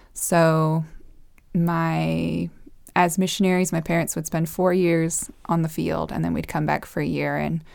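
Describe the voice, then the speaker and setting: Low, gravelly-voiced woman guest. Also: a little mellow, no echo, clean studio sound. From Costa Rica. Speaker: podcast guest. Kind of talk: casual conversational interview.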